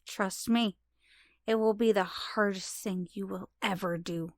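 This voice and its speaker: A grave, mysterious mother, acted by a woman in a fantasy audio drama. She speaks slowly and portentously, in a breathy near whisper at middling pitch, with a dry, close sound. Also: fairly even intonation, no room sound, natural voice. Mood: grave warning. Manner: slow, portentous. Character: mysterious mother, grave and urgent mystic messenger.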